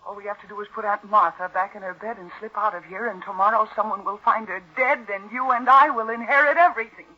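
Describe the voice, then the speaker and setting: An actress playing a scheming young niece who feigns sweetness in an old-time radio mystery. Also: female voice, medium pitch, fast talker, noisy background, lightly acted. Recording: muffled old radio recording.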